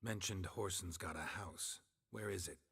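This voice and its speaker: An actor playing a terse, stoic male monster hunter in a game cutscene, with a gravelly, husky voice and flat, understated delivery. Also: low-pitched, normal speed, full character voice.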